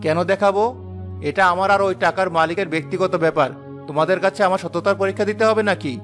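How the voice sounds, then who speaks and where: A man's voice: high-pitched, fast talker, a bit bright, faint music behind. A man voicing a poor, honest village porter in a Bengali cartoon, earnest, proud and wounded. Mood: indignant.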